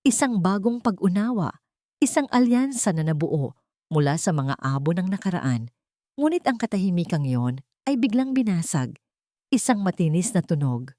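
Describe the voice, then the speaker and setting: A woman reading a scripted love drama as a narrator, with emotive, deliberate phrasing. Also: lowish voice, very animated, dark tone, dry recording, clean studio sound. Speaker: story narrator.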